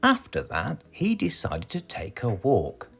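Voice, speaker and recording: Very animated British man reading a children's story. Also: mid-range voice, a little slow, gravelly, faint music behind. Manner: dramatic, addresses young listeners with questions. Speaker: storybook reader.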